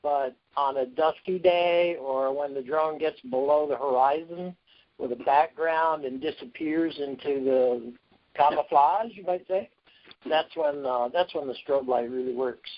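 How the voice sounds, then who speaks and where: Higher-pitched man on a dull phone line. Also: unhurried. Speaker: company executive on a call. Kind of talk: conference call product pitch.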